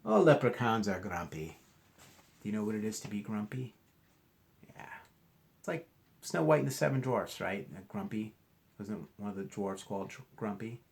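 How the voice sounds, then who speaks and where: A man doing an expressive read-aloud for children, chatting to his young listeners in asides and asking them questions directly. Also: lowish voice, normal speed, vocal fry, long pauses. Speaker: children's storybook reader, teacher.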